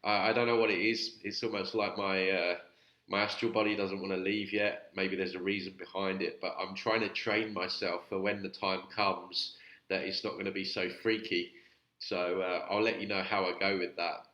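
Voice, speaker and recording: A man's voice: deep voice, little pitch movement, moderate pace, bright, forceful tone, roomy sound, highs cut off. A man with a cold, sounding nasal, hosting a chatty, rambling commentary on his channel. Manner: chatty, rambling, with many fillers. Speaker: YouTube channel host reviewing videos. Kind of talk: casual vlog commentary.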